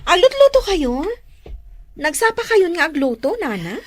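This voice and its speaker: An actress playing a friendly, caring young village midwife in a Filipino radio drama, with a Filipino accent. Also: female voice, extremely high pitch, very animated, moderate pace, no echo. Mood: caring, respectful.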